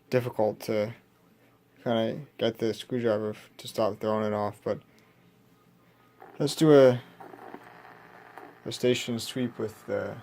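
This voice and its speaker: A man giving casual hands-on repair narration, thinking aloud as he works at an indoor bench. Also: medium pitch. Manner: thinking aloud while working. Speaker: radio repair hobbyist presenting. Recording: loud background noise and electrical hum. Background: loud noise, hum.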